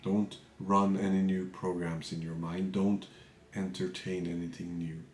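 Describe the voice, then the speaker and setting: A man guiding a meditation as a teacher, calm and measured, speaking in short phrases with pauses between them. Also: low voice, reverberant, a little hiss or hum. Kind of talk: guided meditation, unscripted spiritual talk.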